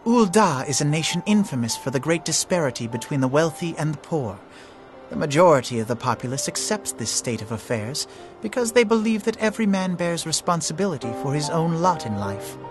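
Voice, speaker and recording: An actor playing a composed, erudite young male political advisor in a video game, speaking formal, measured English. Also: a little high, big pitch swings, faint music behind. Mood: grave, explanatory. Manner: articulate, formal, explanatory, measured. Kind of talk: scripted video game cutscene dialogue.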